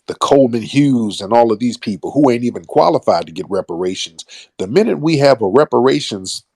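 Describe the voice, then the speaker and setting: A man's voice: fairly low pitch, darkish tone, slightly rough, dry recording. An American man hosting an online radio show, delivering a blunt, combative monologue in colloquial street slang with swearing and emphatic phrasing.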